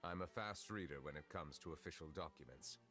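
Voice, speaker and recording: An actor playing a calm, formal, centuries-old judge in a video game, speaking in measured, precise sentences. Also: male voice, very deep voice. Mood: composed. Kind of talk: scripted video game dialogue. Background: game music.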